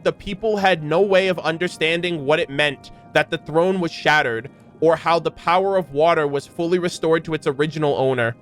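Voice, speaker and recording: A man with a fairly high voice talks as an online reaction creator over background music. He moves between reading lore aloud and loose, rambling commentary, given to hyperbole and casual swearing. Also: fairly bright tone.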